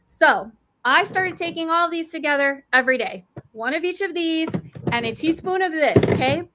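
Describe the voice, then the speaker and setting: A woman in her forties giving an eager, chatty product pitch about mushroom supplements, calling herself a nerd. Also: lively intonation, normal speed, background noise. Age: 40s.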